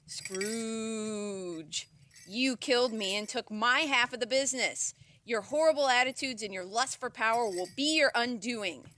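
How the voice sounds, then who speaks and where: Woman playing a theatrical high-voiced ghost. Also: measured pace, sharp tone, dry recording. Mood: ominous, accusing. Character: grandiose female ghost of dead business partner. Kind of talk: comedic audio drama.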